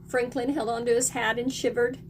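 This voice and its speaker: A woman's voice: fairly high pitch, flat delivery, fairly quick, slight background noise. A woman teacher leads a read-aloud lesson for young children, encouraging them and prompting them with questions and repeats.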